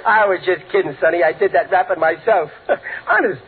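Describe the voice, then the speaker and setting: Man playing a high-pitched blustering radio-comedy dad, tinny recording. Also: brisk, heavy background noise, natural voice. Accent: American. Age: middle-aged. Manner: folksy, ungrammatical, chuckling wisecracks. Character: blustering working-class father, joking and cocksure. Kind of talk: 1940s radio comedy acting.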